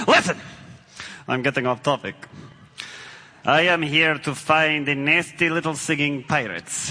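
A man's voice: fairly high pitch, bright, forceful tone. A man playing a blustering villain rat. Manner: blustering, theatrical, plays to the audience. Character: big hairy stinky villain rat, blustering and scheming. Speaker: stage actor. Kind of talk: live stage show for children. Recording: echoey hall.

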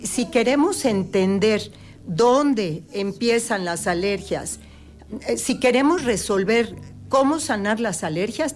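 Woman, unhurried explainer, talk-show guest, soft music under her voice. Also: mid-range voice. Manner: explains patiently with everyday examples. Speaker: health guest expert.

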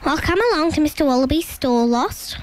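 A woman's voice: sits high, fairly quick, voice pushed up, lightly acted. A woman voicing a bustling, decisive female emu with a folksy, emphatic manner in a children's story. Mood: surprised, friendly.

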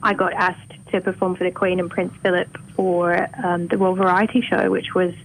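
A woman with a mild north Welsh accent softened toward English, a singer talking openly and chattily as a radio interview guest. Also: fairly low pitch, flat delivery, normal speed, a little hiss or hum, rough recording. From Wales. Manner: chatty and open, laughs easily.

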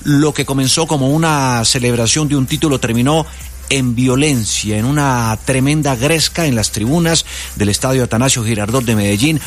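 A man presenting a midday news show on the radio, with a Colombian Spanish accent. He speaks at a middling pitch, in a lively, personal tone broken by small fillers. Faint music plays behind him in the studio. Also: normal speed. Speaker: news anchor. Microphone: studio microphone.

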